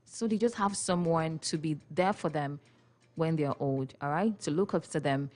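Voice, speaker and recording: A woman's voice: low-pitched, moderate pace, a little mellow. A young Nigerian woman hosting a radio talk show, playful and chatty, with frequent laughs and questions for her listeners in a studio. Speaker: radio host. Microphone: studio microphone.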